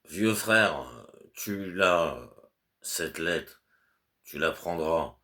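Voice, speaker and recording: A man's voice: deep voice, lively intonation, drawn-out words, bright, forceful tone, exaggerated voice. A man reading aloud and voicing a fussy, anxious middle-aged clerk who stammers and over-explains his orders. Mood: flustered, insistent. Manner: flustered, repeats fillers, fussy over-explaining. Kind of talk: audiobook character reading.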